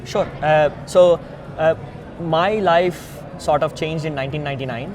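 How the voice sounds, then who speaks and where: A man's voice: a little slow, over music. A man from India with an Indian accent, a founder being interviewed, explaining emphatically step by step in earnest. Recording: noisy outdoor ambience with traffic rumble.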